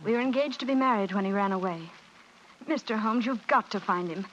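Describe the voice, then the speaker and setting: A woman's voice: medium pitch, fairly quick, a bit bright, natural voice. A woman playing an earnest, pleading young lady in a 1940s radio drama, polite and urgent. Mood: earnest, anxious. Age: young adult. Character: earnest, pleading young Englishwoman devoted to missing fiancé. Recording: muffled, noisy vintage radio recording.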